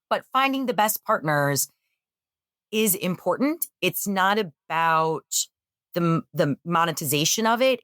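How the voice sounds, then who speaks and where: A woman answering interview questions in an energetic, emphatic way; she says she has a loud voice. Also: low-pitched, dry recording, studio quality. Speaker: real estate executive guest.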